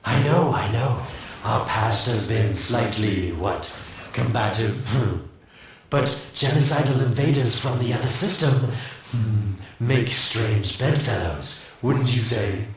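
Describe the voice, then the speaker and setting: A man's voice: mid-range voice, takes it slowly, close to an everyday voice. An actor playing a sly, scheming man in a video game, teasing and sarcastic with chuckles between lines. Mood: mocking. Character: sly scheming male inventor, mocking and smug. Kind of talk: video game cutscene voice acting. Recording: very echoey, distant, faint noise.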